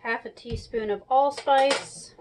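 A woman's voice: a little high, steady tone, slow, a little mellow. A woman in her thirties giving a casual, chatty cooking tutorial at home, talking through each step. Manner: chatty, instructional. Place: small home kitchen, indoors. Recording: clear background noise and clicks.

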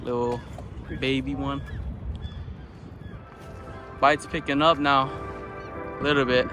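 A man with a Californian accent filming himself fishing on a rocky bay shore, chatty and excited, talking into an action camera. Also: medium pitch, slow, music in the background. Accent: Californian English. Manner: excited, chatty, says dude a lot. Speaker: fishing vlogger. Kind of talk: casual outdoor chat.

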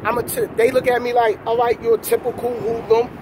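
A man's voice: extremely high pitch, little pitch movement, fast. A man giving an emphatic, slangy motivational chat as a vlog host, repeating his points. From New York City. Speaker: trucker vlogger, host. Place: outdoors beside a parked semi truck. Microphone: camera at arm's length. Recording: loud outdoor wind and vehicle noise.

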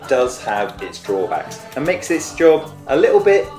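A man's voice: mid-range voice, big pitch swings, measured pace. A middle-aged man co-hosting a workshop show, narrating an engine rebuild in a cheerful, jokey way with playful slang. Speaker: co-host restoring a tractor engine. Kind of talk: upbeat workshop narration and banter. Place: garage workshop, voice-over in part. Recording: roomy sound with music underneath.

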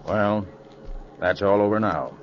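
An actor playing a calm, confident detective in a 1940s radio drama, explaining the case in a self-assured way. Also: male voice, on the low side, slow pace. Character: Nick Carter, calm confident male detective. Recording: dull 1940s radio recording with faint noise.

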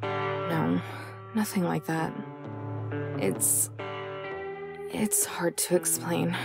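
A woman's voice: on the low side, fairly even intonation, extremely slow, creaky, loud music behind the voice, exaggerated voice. A woman voicing a guarded, wry young heroine of a visual novel in a dry, hesitant manner. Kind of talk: visual novel character dub.

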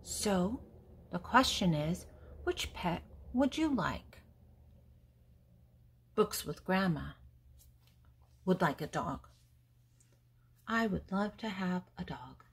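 Slow, husky older woman reading to children. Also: fairly low pitch, animated. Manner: deliberate, teacherly, pausing between words. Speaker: host reading a children's picture book aloud. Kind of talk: read-aloud for young children, with questions and answers.

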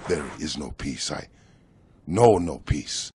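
A man's voice: lively intonation, slow pace, dark tone, a little hiss or hum, exaggerated voice. A man voicing a theatrical, wise old bowling master who announces shots with grand, proverb-like lines. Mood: proclaiming. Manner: grand, proverb-like pronouncements with wild pitch leaps.

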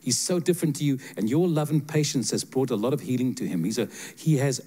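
An older man giving prophetic words to listeners in a church sanctuary, speaking with a handheld mic in a lively, joking, direct manner. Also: medium pitch, quick pace. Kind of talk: prophetic ministry to congregation. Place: church sanctuary with seated congregation.

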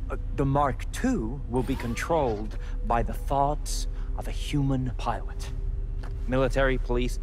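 Slow, smug tech villain. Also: male voice, medium pitch. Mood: smug explaining. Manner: smooth, condescending, theatrical pauses. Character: smug, menacing male tech villain in a suit. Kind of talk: video game cutscene acting. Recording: music behind the voice. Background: cinematic music.

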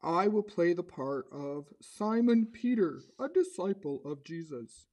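A woman playing a bold male puppet disciple, telling a children's story in an earnest, expressive way. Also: fairly low pitch, unhurried, dull audio. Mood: explanatory. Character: bold, eager puppet disciple Simon Peter, a man.

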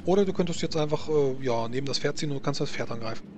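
A man improvising narration and rulings as game master of a tabletop roleplaying game, halting as he feels for words. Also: fairly high pitch. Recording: clearly noisy home voice recording.

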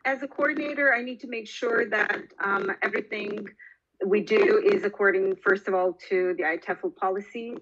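Bright-voiced woman, muffled line. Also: medium pitch, fairly even intonation, normal speed, breathy edge. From Bosnia and Herzegovina. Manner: thoughtful, many fillers. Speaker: guest, language teacher. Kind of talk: podcast interview.

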